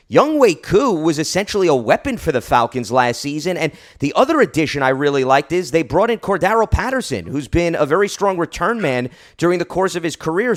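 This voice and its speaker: A man hosting a sports interview, articulate and conversational as he sets up long questions for his guest. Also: a little high. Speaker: sports show host, interviewer.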